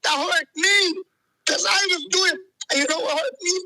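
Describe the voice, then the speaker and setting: A man's voice: very high voice, normal speed, pushed and bright, dry recording, rough recording. A man, calling in to a show and ranting heatedly, repeating himself. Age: 40s. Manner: agitated, repetitive, keeps saying "let me tell you something". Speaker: caller. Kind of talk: heated call-in rant.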